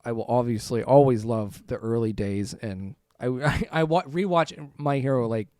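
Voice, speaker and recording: A man with a smooth, clear voice at an average pitch hosts a casual podcast chat. The sound is dry and close, and his way of talking is loose and informal. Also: normal speed, mellow, no room sound. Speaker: podcast host.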